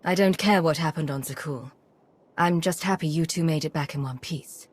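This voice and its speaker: An actress playing a principled, composed commander in a video game, measured and authoritative. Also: female voice, deep voice, husky. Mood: concerned. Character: principled, composed female commander, compassionate yet firm.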